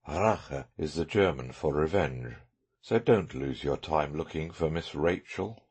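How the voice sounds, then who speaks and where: A man voicing a brilliant, self-assured male detective with dry, measured sarcasm in an audiobook. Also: very deep voice, lively intonation, darkish tone, breathy edge, pitched below the performer's own voice, heavily acted. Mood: curt.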